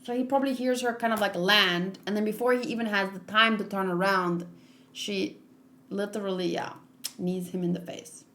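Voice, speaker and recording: A woman giving casual, halting read-along commentary on a comic, with exclamations as she reacts. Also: medium pitch, fairly even intonation, a bit bright. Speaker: manga read-along host.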